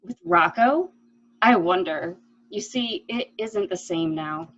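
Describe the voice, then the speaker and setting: A woman's voice: fairly low pitch, slow, fairly bright tone, lo-fi sound. A woman playing a guilt-ridden yet decisive lady of the house in an amateur mystery play, speaking earnestly. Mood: regretful, troubled. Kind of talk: amateur murder mystery radio play acting.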